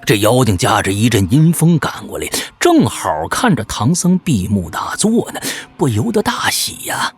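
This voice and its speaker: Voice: medium pitch, big pitch swings, moderate pace, few pauses, husky, faint music behind. A storyteller giving dramatic storybook narration in a folksy, traditional tale-telling manner.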